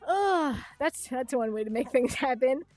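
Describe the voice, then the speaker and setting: A young woman with a Chicago-style Midwestern accent, raised in Minnesota and South Dakota, chatting quickly and swearing freely as a livestream host late at night from her bedroom. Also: high-pitched, lively intonation, a little mellow, faint music behind. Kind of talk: casual late-night stream chat. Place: hot bedroom at home.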